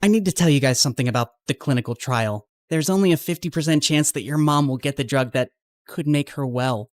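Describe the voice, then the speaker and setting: A low-pitched teenage boy played by a woman from a story cartoon, recorded close and dry. He is earnest and anxious, hesitant in how he talks and devoted to his friends. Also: brisk, darkish tone, dry recording, lightly acted. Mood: guilty. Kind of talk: animated story dialogue.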